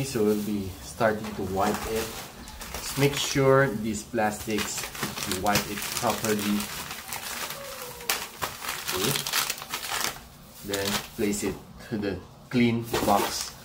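Male vlogger, thirties, Filipino accent, slow and halting how-to delivery, lowish pitch, phone recording outdoors. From Philippines. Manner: halting, explanatory. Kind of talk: casual how-to vlog demonstration. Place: outdoor paved yard or garage at home. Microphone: handheld phone camera. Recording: clear ambient noise on phone video.

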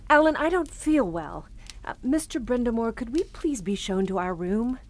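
A woman's voice: on the high side, expressive, normal speed. A woman playing an anxious, suspicious young honeymooning wife in an audio drama. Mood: urgent, tense. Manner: hesitant, anxious, insistent.

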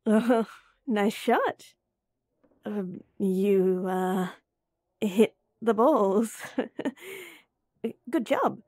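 A woman's voice: mid-range voice, animated, drawn-out words, long pauses, a little mellow, slightly rough, dry recording, clean studio sound, heavily acted. A woman voicing a young woman with a crush on her childhood friend, teasing playfully and muttering whispered asides to herself. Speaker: voice actor. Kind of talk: romantic roleplay audio.

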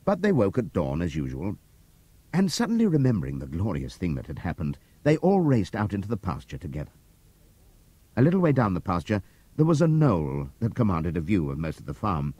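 An older man reading a classic novel aloud as an audiobook narrator, measured and expressive. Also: breathy edge, no room sound. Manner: measured, expressive storytelling with wide pitch swings. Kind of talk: scripted literary narration. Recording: dry close studio read from cassette tape.

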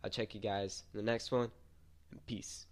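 A young man with a stuffy nose and sore throat, rambling excitedly in a casual gaming vlog filmed at home. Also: lowish voice, normal speed. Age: young adult. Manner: excitable, rambling, lots of fillers. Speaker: gaming YouTuber. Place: bedroom at home, indoors.

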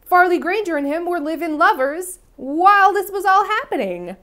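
A woman's voice: very high voice, expressive, moderate pace, sharp tone. A woman in her thirties co-hosting a film review, gushing and giddy with lots of asides, at home. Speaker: co-host of a film review show. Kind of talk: casual film review chat. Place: small home room with a bookshelf, indoors.

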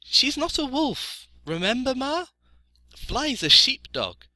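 High-pitched polite little piglet. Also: male voice, sing-song, takes it slowly, no room sound, exaggerated voice. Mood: earnest. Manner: gentle, courteous. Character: polite, eager young male piglet.

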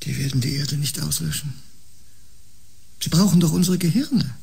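A relentless, confrontational interrogator in a science-fiction radio play. His voice is fairly high for a man, very husky and crackling with gravel. He sounds stern and accusing. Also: normal speed, exaggerated voice. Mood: insistent. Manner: sharp, confrontational. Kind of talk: German science-fiction radio drama acting.

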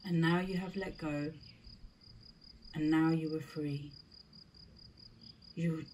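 A woman leading a scripted guided meditation in a soothing, deliberate manner, with short spaced phrases. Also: low-pitched, fairly even intonation, a little mellow, a little hiss or hum.

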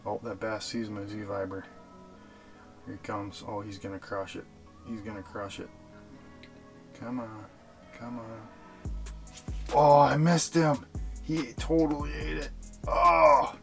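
A man vlogging his ice fishing trip in a shack on a frozen lake, excited and chatty, talking to the fish he sees on camera. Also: lowish voice, takes it slowly, creaky, pauses often, over music. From Wisconsin. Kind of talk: casual outdoor fishing commentary.

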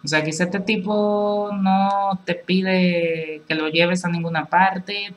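Woman, low-ish voice, tarot reader, casual and reassuring, faint background hum. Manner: chatty, reassuring, lots of filler phrases. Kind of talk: casual tarot reading to camera. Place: indoors at a table with cards on a cloth.

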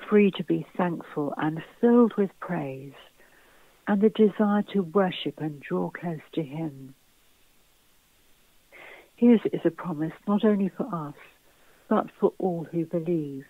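A thin, phone-like recording of a woman preaching. She speaks slowly, in a measured and devotional way, with very little room sound around her. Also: lowish voice, no echo. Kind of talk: sermon. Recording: phone-like, muffled narrow-band sound.

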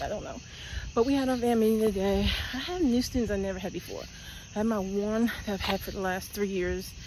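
A woman's voice: mid-range voice, background noise. A woman in her forties vlogging while walking outdoors at night, talking bluntly and rambling into a phone held at arm's length. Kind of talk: casual walking vlog. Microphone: phone at arm's length, earbuds.

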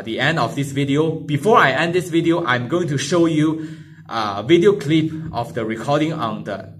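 A young man speaks straight to camera in a small plain room. He presents as a hobby vlogger, with a mid-pitched voice. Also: a bit bright. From Singapore. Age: young adult. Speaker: amateur radio vlogger. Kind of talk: talking to camera, vlog sign-off.

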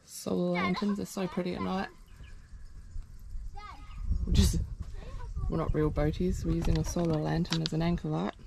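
A woman's voice: on the low side, vocal fry, background noise. Chatty woman on a boat. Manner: chatty, relaxed, filming a tour. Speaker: vlogger. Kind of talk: casual boat tour chat. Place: on a small catamaran on a river, outdoors.

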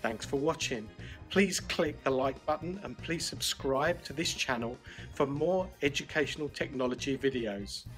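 A man's voice: medium pitch, moderate pace, a bit bright, faint music behind. A middle-aged man speaking straight to camera, giving a friendly channel sign-off. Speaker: channel host.

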